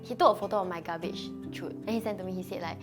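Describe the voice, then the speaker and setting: A woman's voice: lowish voice, quick pace, music in the background. A woman, a student speaking casually and hesitantly. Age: 20s. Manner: casual and hesitant, many "like" fillers. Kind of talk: interview confession.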